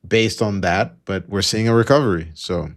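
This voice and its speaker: A man's voice: fairly low pitch, moderate pace. A man co-hosting a live trading stream with casual market commentary, thinking aloud in a hesitant way.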